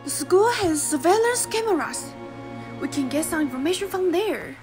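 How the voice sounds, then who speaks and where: A woman's voice: extremely high pitch, lively intonation, normal speed. A young woman playing an inquisitive female investigator in a student English radio drama, with a Taiwanese accent. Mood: puzzled. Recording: voice over clear background music.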